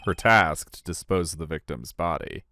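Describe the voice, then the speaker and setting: Deep-voiced man, slow and earnest. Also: bright, forceful tone, a little hiss or hum. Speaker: streamer voicing a game. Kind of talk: fan voice acting of a courtroom game.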